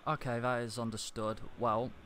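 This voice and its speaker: A man calling customer service with a complaint, speaking in a deadpan, deliberate and stiffly formal manner. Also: medium pitch. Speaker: prank caller posing as customer. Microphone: computer internet call. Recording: internet call with clear background noise.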